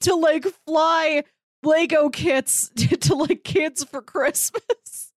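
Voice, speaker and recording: Higher-voiced woman in dry, close sound. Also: steady tone, slow pace, no echo. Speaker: podcast co-host. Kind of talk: casual chat, retelling a TV show episode.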